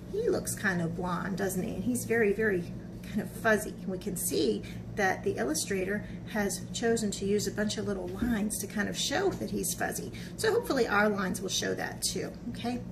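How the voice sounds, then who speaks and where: A woman's voice: medium pitch, gravelly, noisy background. A woman teaching young children in a read-aloud and craft lesson style, explaining things simply and often checking in with little questions. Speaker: children's art teacher and storybook reader.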